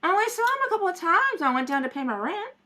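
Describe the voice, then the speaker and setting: A woman reads dramatic parts in a rehearsal of an old radio mystery. Her voice is high and bright, with very animated inflection. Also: racing. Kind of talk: radio play rehearsal read-through, acting parts. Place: at home, at a kitchen table.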